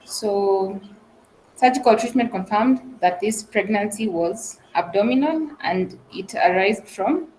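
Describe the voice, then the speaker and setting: A woman's voice: medium pitch, slow pace, a little mellow, a little hiss or hum, poor audio. A woman trainee presenting medical imaging cases in an explanatory style. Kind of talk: case presentation of medical images.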